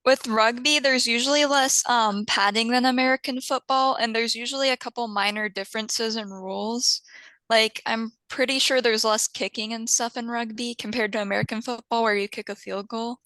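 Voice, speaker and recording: Bright young woman explaining casually. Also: fairly high pitch, steady tone, moderate pace, dry recording. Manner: casual, loose with fillers. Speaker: student. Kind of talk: casual explanation on a video call.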